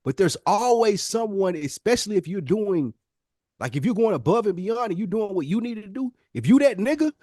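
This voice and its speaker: A man's voice: high voice, lively intonation, fairly quick, mellow, no room sound, clean studio sound. A man co-hosting a podcast, explaining his point insistently in a casual debate. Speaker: podcast co-host.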